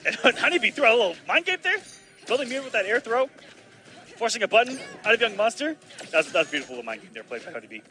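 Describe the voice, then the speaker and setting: A man doing live play-by-play commentary on a fighting game, excitable and bursting loud on big plays, his voice slightly raspy from long casting. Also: high-pitched, rapid-fire, soft background music. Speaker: esports commentator.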